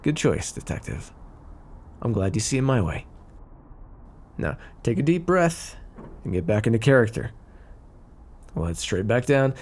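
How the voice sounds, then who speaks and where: A man's voice: fairly low pitch, darkish tone. A man playing a smug, flirty, scheming mafia boss in an ASMR roleplay, teasing and theatrical. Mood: pleased, teasing. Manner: teasing, smooth-talking, theatrical. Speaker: voice actor in audio roleplay. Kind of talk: ASMR roleplay acting, addressed to listener.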